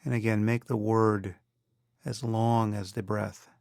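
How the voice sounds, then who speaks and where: Gravelly man guiding a meditation. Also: fairly low pitch, flat delivery, darkish tone, no echo, studio quality. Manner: measured, instructional, with long pauses. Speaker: meditation teacher. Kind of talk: guided meditation.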